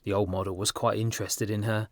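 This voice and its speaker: A man narrating a story as an audiobook, in an expressive, theatrical manner. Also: lowish voice, fast talker, no echo, clean studio sound. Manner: theatrical, varied character dialogue.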